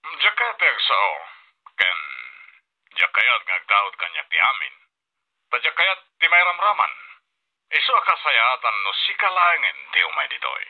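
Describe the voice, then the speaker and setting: Through a tinny telephone filter comes an actor playing a reluctant male family friend in an Ilocano drama. His medium-pitched voice rises and falls very dramatically. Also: huge pitch swings, moderate pace, pauses often. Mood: evasive, reluctant. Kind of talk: Ilocano radio-style drama acting. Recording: thin, tinny telephone line.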